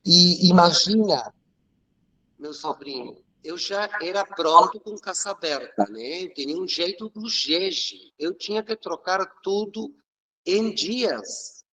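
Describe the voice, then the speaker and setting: Uruguayan man speaking Portuguese, higher-pitched. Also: animated, bright, forceful tone, poor audio. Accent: Uruguayan Spanish. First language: Spanish. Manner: earnest, reflective, occasional halting restarts. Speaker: guest, religious leader. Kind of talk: emotional personal storytelling.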